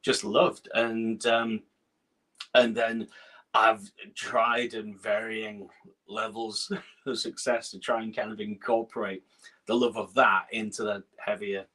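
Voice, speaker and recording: A man's voice: lowish voice, flat delivery, slow pace, husky, rough recording. Hesitant middle-aged man with northern English accent. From Scotland. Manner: hesitant, lots of fillers and restarts, soft laughs. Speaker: guest musician. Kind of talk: casual interview chat. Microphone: video call.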